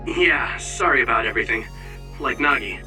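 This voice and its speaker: A woman playing a gentle, friendly young soldier in an anime-style video game. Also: extremely low pitch, lively intonation, moderate pace, recorded from a distance, soft background music, full character voice. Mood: friendly, apologetic.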